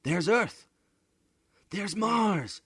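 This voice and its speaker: A man voicing excited young children in a storybook reading, with eager, breathless exclamations. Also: high voice, very slow, slightly rough, dry recording, clean studio sound, voice pushed up, heavily acted. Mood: thrilled. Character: excited shouting young children on a space trip.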